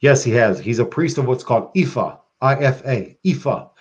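A man's voice: medium pitch, expressive, moderate pace. A man chatting as a podcast guest, flamboyant and profane, calling people baby and girl. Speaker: guest, occult practitioner. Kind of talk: casual podcast chat.

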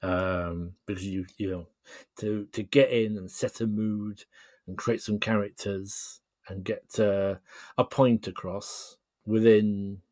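Male podcast guest, fairly deep register, thoughtful and halting explainer, casual conversation, no room sound. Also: fairly even intonation, darkish tone, no room sound. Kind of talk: casual interview chat.

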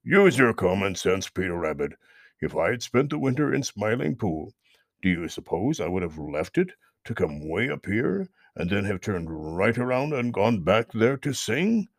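A man voicing a grumpy, proud old male toad who talks in gruff, curt grunts in a storybook reading. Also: lowish voice, very animated, normal speed, dry recording, clean studio sound.

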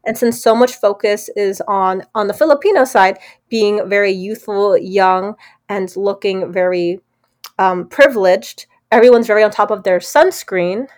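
A woman's voice: mid-range voice, unhurried. A young woman from Pennsylvania, an esthetician and podcast guest, giving thoughtful, articulate answers in an interview. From Pennsylvania, United States. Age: young adult.

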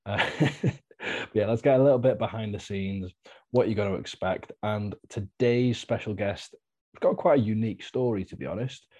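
A man hosting a podcast, chatty and relaxed, with lots of fillers and easy laughs. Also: lowish voice, moderate pace. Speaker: podcast host. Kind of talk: casual interview chat.

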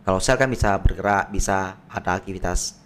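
A man, a doctor, explaining medical tests on a podcast in a patient, plain way with everyday analogies, his voice slightly hoarse. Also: deep voice, fairly quick, a little hiss or hum. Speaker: doctor guest. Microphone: podcast microphone.

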